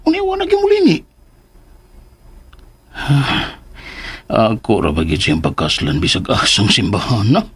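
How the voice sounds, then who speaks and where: A man with a Cebuano accent playing a frustrated, self-pitying suitor in a melodramatic radio drama monologue, his voice husky. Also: fairly low pitch, huge pitch swings, measured pace, long pauses, a bit bright, no room sound, heavily acted. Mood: frustrated, brooding. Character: jilted, scheming suitor, brooding and self-pitying.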